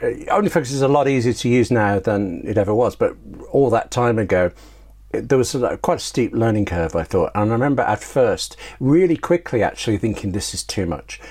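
A man's voice: mid-range voice, expressive, brisk, a little mellow, slightly rough. A British man talking casually as an interview guest, a talkative writer who interrupts himself as he tells anecdotes. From United Kingdom. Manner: talkative, self-interrupting storyteller.

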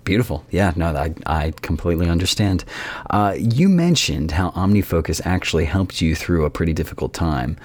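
Deep-voiced man hosting a podcast. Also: lively intonation, normal speed, a little mellow, no room sound. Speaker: podcast host. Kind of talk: conversational interview hosting.

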